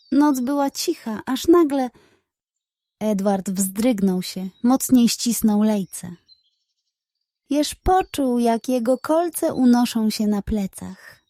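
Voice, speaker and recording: A woman narrating a scripted bedtime story for children in a measured, expressive way. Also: a little high, dry recording, clean studio sound.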